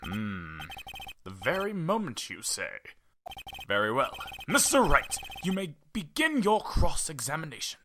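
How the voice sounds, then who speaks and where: A ponderous old judge, acted by a man presides in a courtroom game fan dub. He sounds stately and musing, with broad swings of pitch. Music is clearly audible behind him. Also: wildly swooping pitch, measured pace. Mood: pondering. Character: older male judge, solemn and ponderous.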